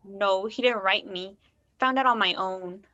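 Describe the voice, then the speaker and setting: A woman's voice: mid-range voice, a little slow, highs cut off, background noise, lightly acted. A woman acting a naive, unsettled nineteen-year-old confiding in a stranger in a naturalistic play. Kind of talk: naturalistic one-act play dialogue.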